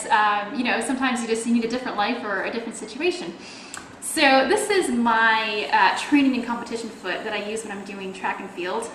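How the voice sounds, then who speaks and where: A woman's voice: medium pitch, a bit bright. Young canadian woman vlogging, chatty w lots of ums, roomy echo, faint hiss. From Toronto, Canada. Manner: chatty, explains with many fillers. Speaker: athlete video blogger. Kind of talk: casual video blog talk.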